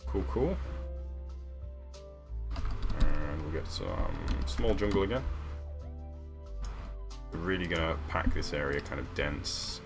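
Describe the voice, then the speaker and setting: Low-voiced man rambling on stream. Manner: rambling, halting, many fillers and restarts. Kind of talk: casual live-stream chat while building in a game. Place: small room at home. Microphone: desk mic at a computer. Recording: background music under the voice.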